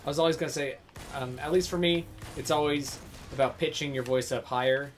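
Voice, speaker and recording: A man's voice: fairly high pitch, steady tone, fairly quick, soft background music. A young man co-hosting a livestream, talking candidly and hesitantly with many ums while gaming. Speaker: livestream co-host and voice actor. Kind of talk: casual chat while playing a game.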